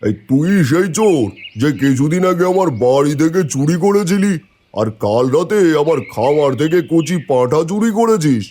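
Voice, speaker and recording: A man's voice: fairly high pitch, lively intonation, moderate pace, warm tone. A man voicing a stern, authoritative village landlord in a cartoon, with a commanding, scolding manner. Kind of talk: cartoon voice acting.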